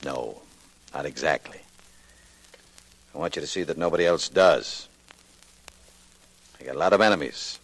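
A man playing a smooth, cocky racketeer, cool and clipped, in 1940s radio drama style. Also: extremely low pitch, normal speed, long pauses, dry recording, low-quality recording. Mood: cool, businesslike. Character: smooth, cocky male racketeer with many enemies.

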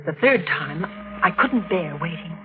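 An actress playing a tense, weary widow, terse and earnest, in 1940s radio mystery style. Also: female voice, on the low side, expressive, measured pace. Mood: somber narration. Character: tense, weary widow recounting and confronting her suspect. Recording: dull old radio recording, music behind.

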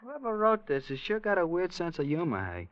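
A dismissive working-class husband in a 1940s radio play. He is slangy and offhand, with pitch that leaps around dramatically. The vintage recording sounds dull and dry. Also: huge pitch swings, brisk, fairly bright tone, no room sound, heavily acted.

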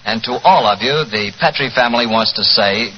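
A man's voice: mid-range voice, moderate pace, highs cut off, a little hiss or hum. A man with an American accent announcing in a polished 1940s radio style. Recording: band-limited old radio recording.

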